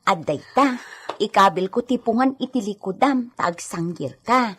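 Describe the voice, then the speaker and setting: A woman's voice: medium pitch, lively intonation, a little slow, fairly bright tone, slight background noise. A woman with an Ilocano accent playing a kind, eager young caregiver in a radio drama, solicitous and chatty. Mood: caring. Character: Edita, kind, eager young woman tending a sick lady.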